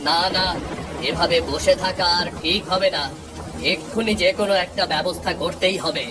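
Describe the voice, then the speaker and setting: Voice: medium pitch, fairly even intonation, normal speed, heavy background noise, natural voice. A performer acting an anxious but reassuring village husband and father in a cartoon story, speaking in an urgent, dramatic, earnest way. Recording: music behind the voice.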